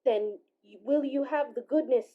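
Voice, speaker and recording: A woman's voice: high-pitched, normal speed, phone-quality sound, close to an everyday voice. A woman playing an overjoyed, eager old reformed miser in a radio play, effusive and theatrical. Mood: humble, apologetic. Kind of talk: stage-style radio play acting.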